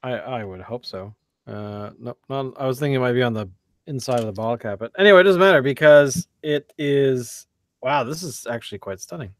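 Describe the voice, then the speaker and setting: A man hosting a beer review podcast in casual chat, excitable and joking, often trailing off mid-sentence. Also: mid-range voice, a little fast, dry recording, studio quality.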